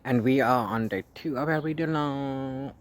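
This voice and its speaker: Male book vlogger, medium pitch, informal and halting with frequent restarts, noisy ambient recording. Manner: halting, repeats and restarts words, playful sign-offs. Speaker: book vlogger, read-along co-host. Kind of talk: casual rambling video diary about a book. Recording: clear background noise, ambient sound.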